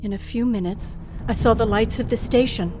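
A woman's voice: fairly high pitch, brisk, mellow, natural voice, rough recording. An actress playing a nervous, frightened young woman driver who tells her ordeal in a breathless, suspenseful old radio thriller style. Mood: tense, hushed. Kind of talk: old-time radio thriller acting and first-person narration. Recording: vintage radio drama with music underneath.

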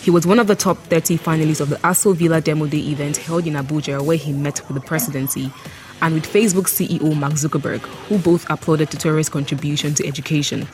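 A woman hosting a podcast interview, lively and quick to laugh, who reads out her guest's biography. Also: low-pitched, faint music behind. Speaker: podcast host.

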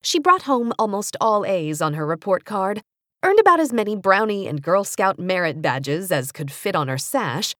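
American woman reading a kids nonfiction audiobook, lively pitch, careful diction, super dry close studio mic. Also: dry recording. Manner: measured, carefully articulated, expressive storytelling. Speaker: audiobook narrator. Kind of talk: scripted nonfiction narration for young readers. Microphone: close studio microphone.

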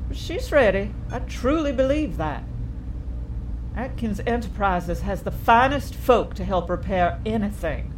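An actress playing a brash, affectionate, wealthy shuttle tycoon in an audio drama, talking in a folksy, effusive way. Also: female voice, medium pitch, background noise, lightly acted. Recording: music under the voice.

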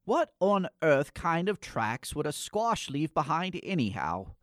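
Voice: medium pitch, big pitch swings, measured pace, no echo, studio quality. A performer playing a morose, exasperated boy in a comic radio play, with theatrical, old-fashioned phrasing. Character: Eddie Poe, morose, exasperated boy in a spooky parody.

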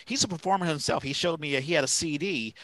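A man's voice: fairly high pitch, fast, a bit bright. A man chatting casually as a podcast co-host. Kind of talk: casual chat.

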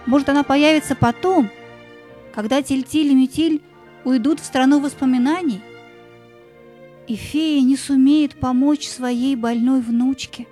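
A woman narrating a scripted fairy tale in a gentle, lyrical storytelling manner. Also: high-pitched, fairly even intonation, a little slow, faint music behind.

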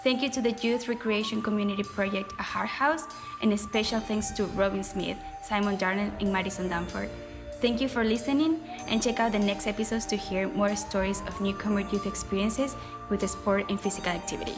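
Woman host, even scripted reading of credits, clear music bed underneath. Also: mid-range voice, brisk. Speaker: podcast host.

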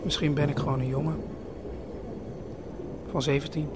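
An actress playing an uncertain, introspective seventeen-year-old youth in an audio drama, speaking in short, halting phrases. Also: female voice, deep voice, little pitch movement, heavy background noise, natural voice. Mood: subdued, brooding. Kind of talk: audio drama monologue and dialogue. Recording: very loud music over voice.

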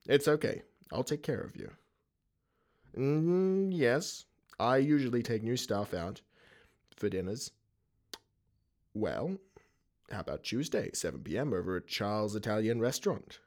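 A man acting a smarmy headmaster in a radio drama, slow and gravelly. He oozes along haltingly, with an insinuating, creepy manner and a pitch that slides about. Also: a little mellow, full character voice. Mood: smarmy, pleased.

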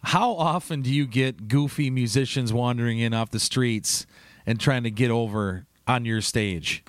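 A man's voice: mid-range voice, normal speed, dry recording, studio quality. A man hosting a casual interview chat, rambling and easygoing, often laughing. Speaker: podcast host.

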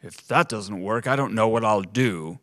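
A man's voice: lowish voice, moderate pace, fairly bright tone, natural voice. A man voicing a condescending head waiter in a rhyming spoken story. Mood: brusque. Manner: sniffy, dismissive.

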